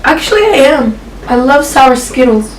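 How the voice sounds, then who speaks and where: A young woman from Peru hosting a casual question and answer vlog, talking in a relaxed way with many fillers. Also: sits high, normal speed, echoey room, distant mic, poor audio. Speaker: vlogger co-host. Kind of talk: casual Q and A chat.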